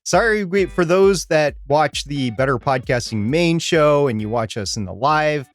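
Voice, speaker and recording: A man's voice: a little high, lively intonation, unhurried, no room sound. A man co-hosting a casual live podcast chat from a studio. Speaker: podcast co-host.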